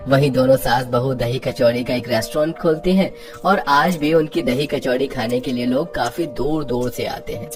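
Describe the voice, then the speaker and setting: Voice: medium pitch, darkish tone. Storybook narrator, music underneath.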